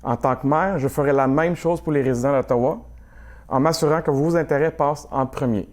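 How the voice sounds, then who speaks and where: A man in his forties delivering a scripted campaign message, formal and measured, as if reading a prepared text. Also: on the high side, darkish tone. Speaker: mayoral candidate.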